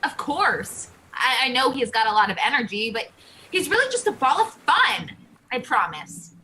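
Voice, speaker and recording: A woman's voice: a little high, big pitch swings, moderate pace, bright, forceful tone, slight background noise, low-quality recording. A woman playing Depression personified, a protective, coaxing caretaker, in a live play reading. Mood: cheery, reassuring.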